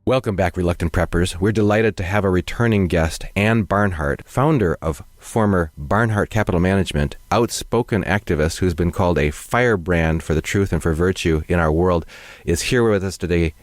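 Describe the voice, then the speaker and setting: A male host welcoming a guest and asking long, measured questions, often pausing with fillers. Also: sits low, dry recording. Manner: measured, wordy, many fillers. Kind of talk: interview hosting.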